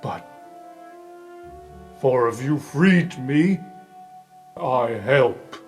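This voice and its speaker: A slow-talking, hungry beast of a garbage eater. It speaks in broken, simple phrases like a dim but earnest fantasy game monster. Music plays behind the voice. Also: male voice, medium pitch, lively intonation, a little mellow. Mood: blunt, earnest. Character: Garbage King, hungry simple-minded dog-like garbage-eating creature.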